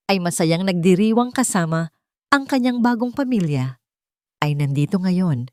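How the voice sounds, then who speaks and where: A woman's voice: fairly low pitch, no room sound, studio quality. A woman reading a scripted dramatic story as its narrator.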